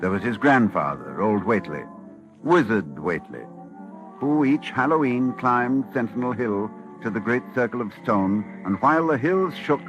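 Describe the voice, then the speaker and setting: An actor playing an anxious, solemn scholar who narrates a horror tale in formal, dramatic 1940s radio style. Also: male voice, mid-range voice, very animated, normal speed, dull audio, over music, close to an everyday voice. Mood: ominous narration. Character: grave, anxious male scholar warning of cosmic horror.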